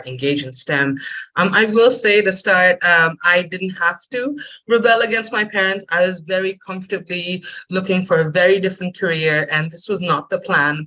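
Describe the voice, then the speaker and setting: A woman's voice: on the low side, sharp tone, low-quality recording. A woman in her late thirties, a program director, giving an earnest webinar talk over a video call with frequent ums and restarts. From Bangladesh.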